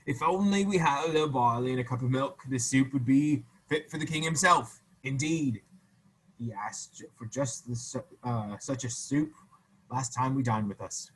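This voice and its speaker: A man voicing a band of hungry, clever soldiers in a storybook read-aloud, with loud, raised, drawn-out calls. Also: medium pitch, slight background noise, lightly acted, poor audio. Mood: grand. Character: hungry clever wandering soldiers, grown men.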